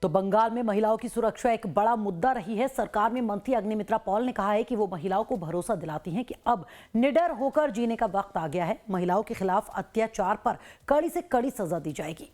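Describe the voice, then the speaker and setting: A woman's voice: medium pitch, lively intonation, brisk, darkish tone, soft background music. A woman reading scripted news as an anchor.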